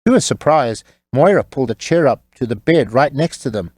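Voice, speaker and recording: A man narrating a scripted audiobook story in a measured, wry storytelling manner. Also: medium pitch, animated, darkish tone, slightly rough, no room sound, clean studio sound.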